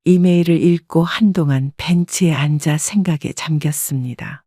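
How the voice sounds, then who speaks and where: A woman in her sixties narrating her own story in the first person, calm and reflective. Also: sits low, normal speed, no echo, studio quality.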